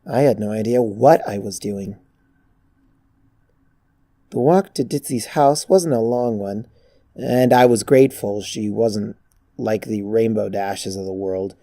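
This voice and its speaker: Story narrator, very soft dark tone, measured, reflective, medium pitch, close dry sound. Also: no room sound. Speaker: narrator of fan fiction. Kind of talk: first-person scripted story narration.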